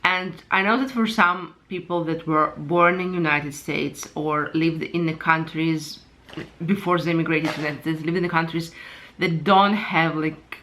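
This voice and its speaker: A woman from Ukraine hosting her own channel, giving her personal opinions unscripted and pausing often to search for words. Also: low voice, fairly bright tone. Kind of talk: unscripted personal commentary to camera.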